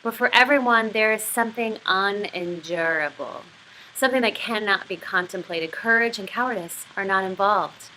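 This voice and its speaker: A woman's voice: mid-range voice, pushed and bright, a little hiss or hum, close to an everyday voice, poor audio. Woman reading as a coldly lecturing male villain. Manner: measured, deliberate, didactic. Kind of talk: literary reading of novel dialogue.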